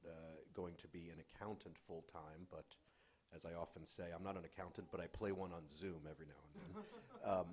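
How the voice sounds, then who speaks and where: An American man from the New York area, a panelist giving an unscripted, halting, self-deprecating personal introduction. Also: low-pitched, steady tone, a little mellow. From New York area, United States. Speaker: panelist, finance professional.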